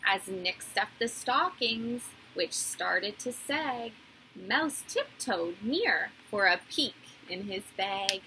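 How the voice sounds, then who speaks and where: A woman in her thirties reading a children's story aloud like a teacher, in a deliberate, animated storytelling way. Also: medium pitch, a little hiss or hum, poor audio. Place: small indoor room.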